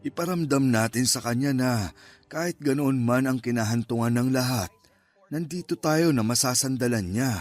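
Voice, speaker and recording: Guy playing a steady filipino lolo in radio drama, average pitch, somber n consoling, light music under him. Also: no room sound, close to an everyday voice. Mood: resolute, gentle. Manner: measured, consoling. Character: steady elderly grandfather, consoling his grieving wife. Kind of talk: Tagalog radio drama acting.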